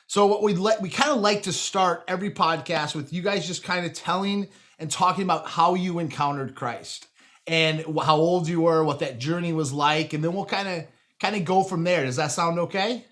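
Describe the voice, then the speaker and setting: A man's voice: fairly high pitch, normal speed, a bit bright. A man hosting a faith podcast, upbeat and enthusiastic as he chats with his guests. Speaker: podcast host. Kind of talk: casual interview hosting.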